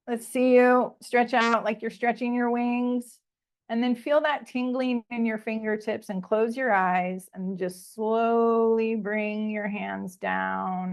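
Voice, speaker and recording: A woman coach leading a slow, soothing guided breathing and visualization exercise over a video call. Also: a little high, vocal fry. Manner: soothing, slow guiding instructions.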